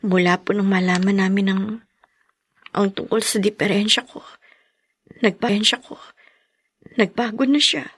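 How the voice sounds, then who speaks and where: A woman's voice: medium pitch, a little slow, pauses often, husky. A woman playing a young wife in a radio drama, tearful and pleading. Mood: worried.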